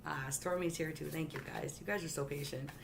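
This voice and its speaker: A woman hosting a live tarot stream, talking chattily to her viewers and reading cards gently, holding back her loud voice because it is strained after coughing. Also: low voice, fairly even intonation, brisk, gravelly, background noise. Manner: says she has a loud voice but keeps it soft.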